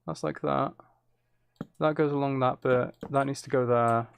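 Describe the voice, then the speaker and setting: A man's voice: mid-range voice, fairly even intonation, moderate pace, gravelly, a little hiss or hum. A man giving casual gameplay commentary, thinking aloud and correcting himself as he chats to viewers. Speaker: streamer playing a building game.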